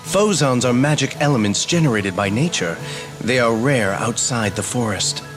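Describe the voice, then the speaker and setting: A man's voice: mid-range voice, animated, moderate pace, over music, lightly acted. Composed, courtly fairy advisor, voiced by a man. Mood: explaining. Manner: formal, composed, expository. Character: courtly, dutiful male fairy royal advisor. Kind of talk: fantasy video game voice acting.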